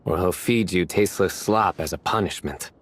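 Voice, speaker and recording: A man voicing a deep, deadpan stern warrior. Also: normal speed, natural voice. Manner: terse, dry deadpan.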